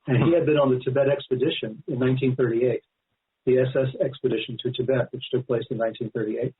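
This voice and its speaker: Male interview guest on a thin phone-like line, medium pitch, conversational and emphatic, prone to digressions. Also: steady tone, quick pace. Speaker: guest author. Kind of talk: radio interview, extended explanation. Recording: narrow-band phone-like line.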